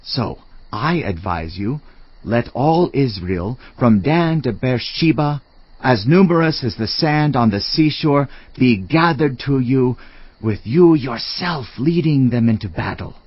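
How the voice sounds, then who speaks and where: An actor playing a grave, persuasive royal adviser in a dramatized scripture reading, speaking with measured, emphatic oratory. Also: male voice, medium pitch, expressive, slightly rough, no echo, muffled recording. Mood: urgent, rousing.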